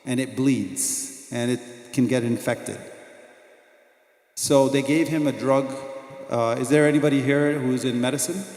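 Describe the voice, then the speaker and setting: A doctor giving a mosque lecture, telling personal stories in an earnest way that turns quiet and reverent at moments. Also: male voice, medium pitch. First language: not Arabic. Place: mosque hall. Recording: echoey hall, long reverb.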